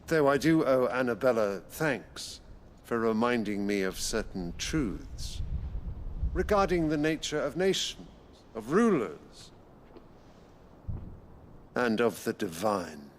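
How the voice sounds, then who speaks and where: A man's voice: mid-range voice, sing-song, takes it slowly, pauses often, slight background noise. A man playing an imperious, short-tempered aging emperor in a pompous, commanding manner for a fantasy game cutscene. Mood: haughty, defiant.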